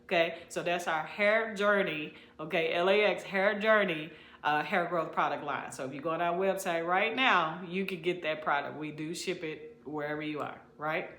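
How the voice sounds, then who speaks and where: A woman's voice: on the low side, moderate pace, few pauses, a bit bright. A middle-aged woman giving haircare advice straight to the camera, emphatic and preachy, repeating key phrases and calling her viewers y'all and you guys. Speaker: haircare specialist. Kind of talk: direct-to-camera advice talk. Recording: echoey room.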